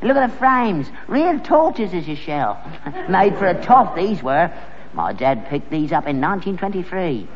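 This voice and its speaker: Sitcom role: crafty old Cockney father, lively swings in pitch, stammering and scoffing, on a dull archive recording. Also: a little slow, long reverb tail. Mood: gleeful storytelling. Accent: London Cockney. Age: older. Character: stubborn, crafty old working-class London father. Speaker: sitcom actor. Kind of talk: vintage British sitcom acting.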